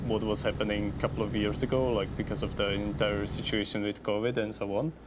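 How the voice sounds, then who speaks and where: A man's voice: on the low side, fast. A man who speaks English as a second language, chatting casually and hesitantly as a guest on a stream. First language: non-native English speaker. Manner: relaxed, hesitant, trails off and restarts. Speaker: company community manager, interview guest. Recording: steady background noise.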